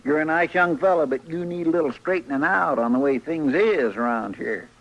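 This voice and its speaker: A man's voice: on the high side, brisk, a bit bright. A man acting a fussy, good-natured marshal's helper in an old radio western, talking in a folksy, earnest way with a rural American drawl. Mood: indignant, scolding. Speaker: radio drama actor. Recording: noisy vintage radio recording.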